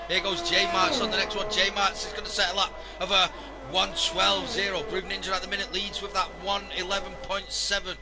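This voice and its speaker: A man commentating a live sim racing stream, hoarse and losing his voice, joking wearily with the chat. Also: high-pitched, level tone, a little fast, loud music behind the voice. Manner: weary, self-mocking banter with the chat.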